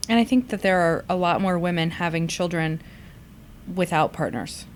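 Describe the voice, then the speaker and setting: A woman's voice: fairly low pitch, moderate pace, slight background noise. A woman hosting a conversational podcast, reflective and exploratory as she puts questions to others. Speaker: podcast host.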